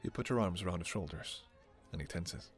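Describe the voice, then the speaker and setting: A man's voice: sits low, expressive, brisk, faint music behind. A man giving scripted second-person narration for a romantic fantasy story.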